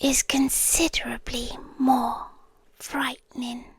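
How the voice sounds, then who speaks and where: Slow, high-voiced British yandere queen, acted by a woman. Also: little pitch movement, no echo, exaggerated voice. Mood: possessive, quietly menacing. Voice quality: soft, breathy, often dropping to a whisper. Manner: slow, deliberate, intimate. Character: possessive yandere queen, courtly, teasing, softly menacing. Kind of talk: ASMR roleplay. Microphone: close, dry microphone.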